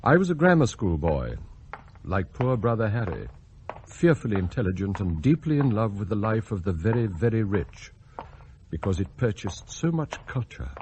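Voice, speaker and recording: An actor playing a scheming, cultured English butler in a radio drama, articulate, pompous and confiding. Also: male voice, on the low side, big pitch swings, normal speed, a little mellow, a little hiss or hum. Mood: confiding, superior. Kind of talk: radio drama acting, first-person narration and dialogue.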